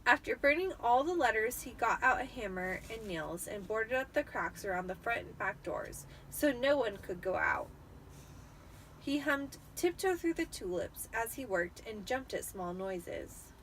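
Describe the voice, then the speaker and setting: A woman's voice: on the high side, expressive, measured pace, fairly bright tone, slight background noise, rough recording. A tipsy woman giving an amateur dramatic reading of a storybook. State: tipsy after drinking wine.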